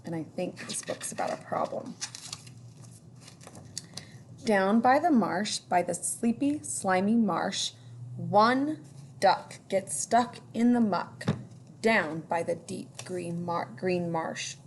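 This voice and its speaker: A woman's voice: medium pitch, animated, slow, a little hiss or hum. A woman teacher reading a children's picture book aloud, with singsong emphasis on the rhymes.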